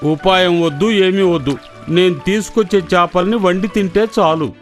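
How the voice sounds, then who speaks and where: A woman's voice: fairly low pitch, moderate pace, faint music behind, natural voice. A woman voicing a gullible, mild-mannered male crocodile husband in a children's cartoon. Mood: reluctant.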